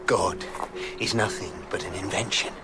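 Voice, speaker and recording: An actor playing a bitter, violent young villain in a radio drama, curt and scornful. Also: male voice, sits low, moderate pace, loud music behind the voice, close to an everyday voice. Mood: scornful rage.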